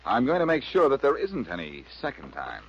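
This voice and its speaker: An actor playing a cool, quick-witted reformed crook turned sleuth in a 1940s radio drama, wry and glib. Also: male voice, mid-range voice, wildly swooping pitch. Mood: confident. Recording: muffled, hissy old radio recording.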